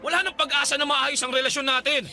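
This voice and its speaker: Radio drama: a defensive young boyfriend, high and gravelly, over a music bed. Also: male voice, steady tone, fast talker, pushed and bright, heavily acted. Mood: exasperated shouting. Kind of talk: Tagalog radio drama acting.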